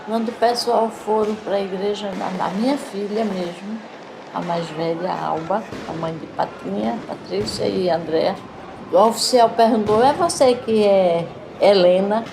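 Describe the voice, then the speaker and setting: A woman's voice: mid-range voice, unhurried. Older Recife woman recounting memories, noisy recording. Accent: Recife, Northeastern Brazilian. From Recife, Brazil. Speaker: community educator and resident giving testimony. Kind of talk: conversational oral testimony, storytelling. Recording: noisy interview with background ambience. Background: clear background noise and ambience.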